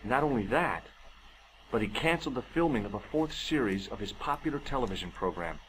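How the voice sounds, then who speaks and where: An actor playing a curious, easygoing male amateur investigator in an adventure game, with an expressive, inquisitive delivery. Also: mid-range voice, a little fast, a little hiss or hum, close to an everyday voice, rough recording. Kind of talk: scripted adventure game dialogue. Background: game music.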